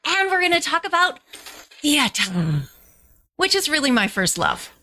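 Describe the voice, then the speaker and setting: An American woman hosts a casual radio interview with a bright, slightly high voice. She gushes and jumps in eagerly as she chats. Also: very animated, unhurried. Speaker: radio show host. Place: recording studio.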